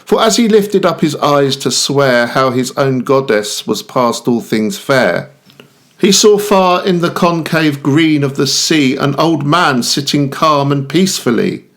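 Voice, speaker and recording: A man giving a measured, expressive reading of Romantic narrative verse. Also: mid-range voice. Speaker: poetry reader.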